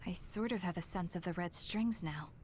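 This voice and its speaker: A woman's voice: lowish voice, normal speed, darkish tone, slight background noise, lightly acted. A woman voicing an earnest, determined young woman in an anime game, speaking dramatically as if thinking aloud. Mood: uncertain, musing.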